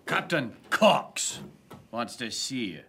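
An actor playing a gruff but fair and hearty colonial skipper in a historical audio drama, blunt and commanding in manner. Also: male voice, medium pitch, sing-song, slow, pushed and bright, slight background noise, heavily acted. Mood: firm, defiant.

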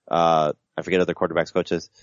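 A man's voice: low-pitched, flat delivery, quick pace, no room sound, muffled recording. A man on a sports podcast panel, talking casually with many hesitations.

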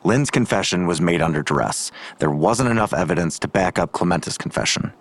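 A man's voice: sits low, expressive, brisk. An actor playing a blunt, world-weary father in an audio drama, dry and clipped in delivery. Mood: guarded.

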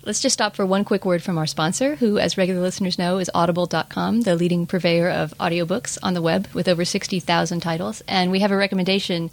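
A woman's voice: fairly low pitch, fairly even intonation, fast, creaky, hardly any pauses. A woman hosting a podcast, discussing film casually in an opinionated, wry and informal way. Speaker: podcast host.

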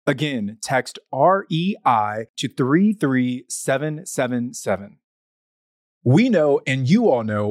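A man's voice: medium pitch, darkish tone, dry recording. Man reading a sponsor ad, dry. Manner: slows to spell out web addresses. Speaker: ad reader. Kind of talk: read sponsor ad.

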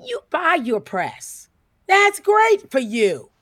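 A woman playing a sharp-tongued, indignant theater producer in an audio comedy, haughty and dryly sarcastic. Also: very high voice, huge pitch swings, slow, no echo, clean studio sound, full character voice. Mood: sarcastic, withering.

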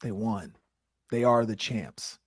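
A man hosting a sports talk show, his voice hoarse from shouting, talking energetically. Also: on the low side, slow pace, no echo, clean studio sound. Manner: animated, energetic opinions. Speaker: host.

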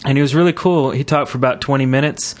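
A man hosting a casual gaming podcast, talking in a halting, rambling way. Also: mid-range voice, steady tone. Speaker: podcast host.

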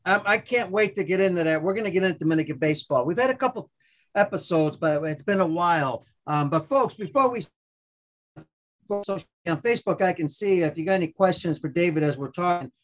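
Upbeat high-voiced podcast host man. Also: a little fast. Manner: upbeat, rambling, restarts often. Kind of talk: casual chat.

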